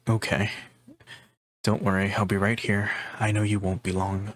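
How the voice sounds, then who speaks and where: ASMR roleplay, male: actor as affectionate comforting boyfriend, soft-spoken, lowish pitch, very unhurried, intimate delivery. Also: flat delivery, close to an everyday voice. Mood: playful, affectionate. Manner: tender, unhurried, intimate, with pauses. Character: gentle, affectionate, teasing male partner offering comfort.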